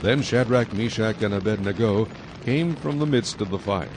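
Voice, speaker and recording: A man's voice: lowish voice, moderate pace, background noise. A man narrating scripture from a script in a dramatized audio production.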